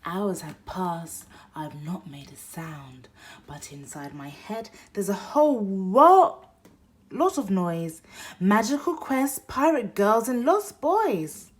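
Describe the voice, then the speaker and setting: A woman reading a picture book aloud for toddlers, expressive, moving between hushed whispers and loud exclaims. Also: mid-range voice, slow pace. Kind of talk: read-aloud bedtime story for toddlers.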